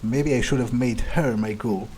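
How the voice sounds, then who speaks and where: A cruel, entitled vampire voiced by a man muses aloud in a video game roleplay. The voice is dark and soft-edged at a middling pitch. The delivery is deliberate and condescending, with pauses for thought. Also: a little hiss or hum, close to an everyday voice, low-quality recording. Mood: irritated, menacing. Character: cruel, arrogant male vampire, coolly menacing, entitled.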